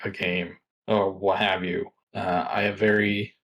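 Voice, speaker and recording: A fairly low-pitched middle-aged man, talking as an interview guest. He muses in a loose, rambling way, circling back and restarting his sentences. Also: flat delivery, unhurried, a bit bright. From Norway. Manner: reflective, rambling with many restarts. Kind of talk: casual interview talk.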